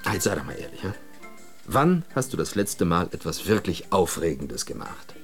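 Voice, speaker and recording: An obsessed, persuasive man in a radio drama. His lowish voice moves widely in pitch as he presses his case insistently, with faint music behind. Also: sing-song, moderate pace. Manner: insistent, emphatic. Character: restless, obsessed man urging an escape, persuasive. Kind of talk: German radio play acting.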